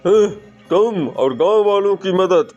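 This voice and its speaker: A proud tantric sorcerer, voiced by a man, barks scornful orders in a raised yet dark voice. Built for a horror cartoon, the recording sounds dull and lo-fi. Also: high voice, lively intonation, slow, faint music behind. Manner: gruff, scornful and bossy. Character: boastful, threatening male tantric sorcerer. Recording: muffled lo-fi recording.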